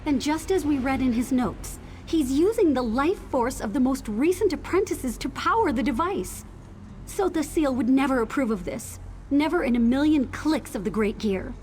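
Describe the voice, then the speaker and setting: High-pitched earnest young heroine played by a woman. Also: animated, normal speed, darkish tone, slight background noise. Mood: grave, determined. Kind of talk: scripted game dialogue.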